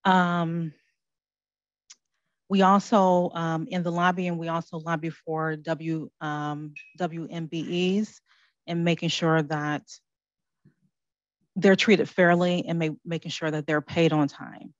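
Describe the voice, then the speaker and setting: A woman speaking on a panel, soft-spoken and hesitant with many pauses. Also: low voice, level tone, no echo, muffled recording. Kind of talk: panel talk. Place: conference room.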